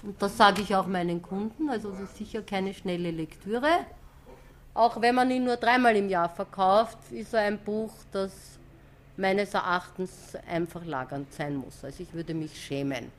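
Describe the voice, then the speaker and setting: A woman's voice: mid-range voice, moderate pace, a little hiss or hum. A woman bookseller answering interview questions in a firm, matter-of-fact way.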